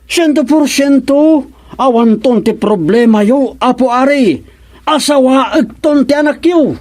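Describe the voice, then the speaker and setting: High-voiced, hapless impostor prince. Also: male voice, unhurried, a little mellow. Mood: boastful. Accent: Filipino. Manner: stammering, pleading, exaggerated comic delivery. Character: hapless comic impostor prince posing as royal barber. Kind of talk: Filipino radio drama comedy acting.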